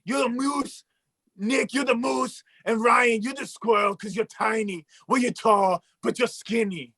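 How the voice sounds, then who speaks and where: A man doing a gravelly, shouty puppet braggart. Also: very high voice, fairly even intonation, unhurried, no room sound, full character voice. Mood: boastful. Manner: shouting, bragging, mock-villainous. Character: boastful mustached male puppet, petty schemer. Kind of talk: comedic puppet bit on a talk show.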